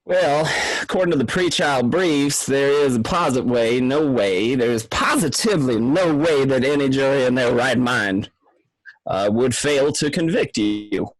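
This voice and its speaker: A man voicing a slick, superstitious criminal lawyer around forty in an amateur radio play, talking fast and wheedling. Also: medium pitch, lively intonation, no echo, lightly acted, rough recording. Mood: scheming.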